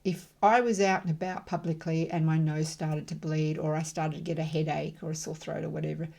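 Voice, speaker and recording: A middle-aged woman facilitating emotional freedom tapping, repeating affirmation phrases slowly and rhythmically between calm, chatty explanations. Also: deep voice, creaky. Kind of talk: guided tapping demonstration.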